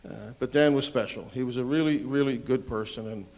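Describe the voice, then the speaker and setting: A man giving a heartfelt spoken tribute at a ceremony, hesitant at times with small pauses. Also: medium pitch, darkish tone, low-quality recording.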